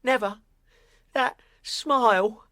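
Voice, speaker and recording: A woman playing a frightened young apprentice boy, timid, halting and deferential, in a period audio drama. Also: a little high, fairly bright tone, no echo. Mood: fearful.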